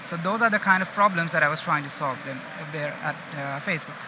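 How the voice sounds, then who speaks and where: A man's voice: a little high, brisk. A man from India with an Indian accent gives an informal conference presentation in a conversational way, often checking in with his listeners. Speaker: conference speaker, data engineering manager. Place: open stage at a busy exhibition. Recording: loud background noise of busy event hall. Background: loud ambient event noise.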